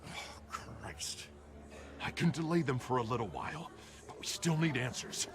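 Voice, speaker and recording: An actor playing an earnest, frustrated district attorney in a game, speaking in an emphatic, exasperated way. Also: male voice, medium pitch, big pitch swings, unhurried, gravelly. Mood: exasperated, worried.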